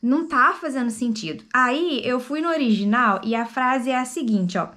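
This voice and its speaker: Woman vlogger with a high voice who reviews books chattily to camera and checks in with viewers. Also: normal speed, fairly bright tone. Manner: chatty, explains, asks viewers "right?". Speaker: book vlogger. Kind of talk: casual book review to camera.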